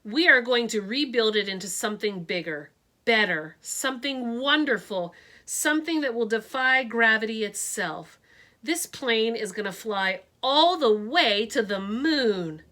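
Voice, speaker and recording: A woman's voice: on the high side, lively intonation, unhurried, bright, forceful tone. A woman voicing an earnest, hopeful schoolboy who speaks with passion in a storybook. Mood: passionate. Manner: passionate, pleading.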